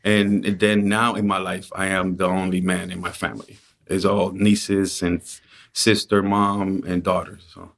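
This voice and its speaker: A middle-aged man from the Dominican Republic talks through his life as a painter in a relaxed, reflective way. His voice sits somewhat low, and his storytelling is casual and unhurried. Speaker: painter and muralist, guest.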